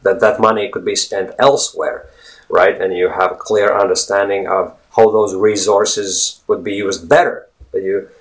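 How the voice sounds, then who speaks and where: Low-voiced man teaching English. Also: steady tone, normal speed, rough recording. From Latvia. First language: Latvian. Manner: chatty, digressive, repeats and rephrases points. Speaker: English teacher and video host. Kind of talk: informal language lesson to camera.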